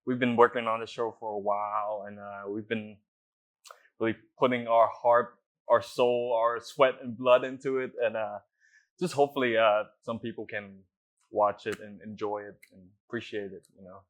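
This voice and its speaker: Casual: chill young guy in an interview, low voice, pretty flat, laughs a bit. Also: moderate pace. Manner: laid-back, chuckling, loose with fillers. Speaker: actor.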